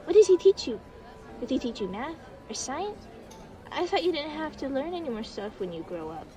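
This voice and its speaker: A woman's voice: sits high, big pitch swings, moderate pace, distant mic, music in the background. A woman playing an innocent, chatty young child in an audio roleplay, eager and rambling. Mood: curious, chatty.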